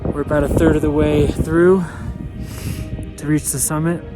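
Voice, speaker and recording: A young man filming his own climbing vlog outdoors on a high mountain, exhausted and worn down, talking bluntly with swearing as he pushes himself on. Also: little pitch movement, moderate pace. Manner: blunt, swearing, self-motivating. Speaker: mountaineering vlogger. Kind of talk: personal vlog narration. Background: background music.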